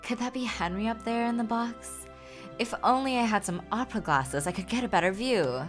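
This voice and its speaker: A woman's voice: fairly high pitch, fairly quick, faint music behind, pitched above the performer's own voice, close to an everyday voice. A woman voicing an earnest, genteel young Regency lady with polite, formal period speech, for a game. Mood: eager, polite.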